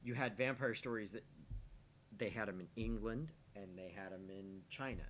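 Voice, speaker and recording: A man with a somewhat low voice chats as co-host of a game stream. He lays out his thinking in a casual, explanatory way, restarting and repeating words as he goes. The recording carries a faint low rumble. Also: moderate pace, a bit bright. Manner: explains at length with repetition and restarts. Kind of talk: casual chat, opinionated musing.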